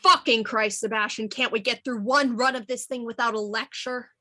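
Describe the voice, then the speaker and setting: A sarcastic, foul-mouthed actress. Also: female voice, a little high, steady tone, moderate pace, bright, forceful tone. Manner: sarcastic, curt, profane. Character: irritable foul-mouthed young actress Missy.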